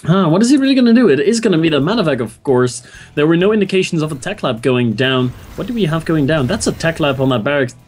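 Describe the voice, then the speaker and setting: A man's voice: on the high side, quick pace, faint music behind. A man casting a video game match, introducing players and thinking aloud about strategy with easy enthusiasm. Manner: excitable, laughs often, thinks aloud. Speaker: esports caster. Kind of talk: esports play-by-play commentary.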